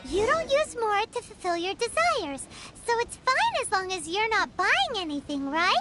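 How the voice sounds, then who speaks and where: Squeaky, very high childlike fairy companion. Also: female voice, very animated, moderate pace, heavy background noise. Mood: puzzled, eager. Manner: speaks of herself in third person, bubbly. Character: small childlike floating fairy companion, cheerful, food-loving. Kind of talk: anime-style video game acting.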